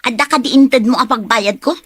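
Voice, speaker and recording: A woman's voice: on the high side, a little fast, fairly bright tone, breathy edge, no echo. A woman acting an aggrieved, scheming lover in an audio drama, with a Filipino Ilocano accent. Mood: pleading, reproachful.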